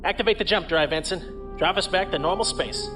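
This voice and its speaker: An actor playing a brash, excitable and informal second-in-command in a science fiction audio drama. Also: male voice, high voice, fairly quick, music in the background, lightly acted. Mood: confident. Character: brash, familiar male starship officer, teasing sidekick.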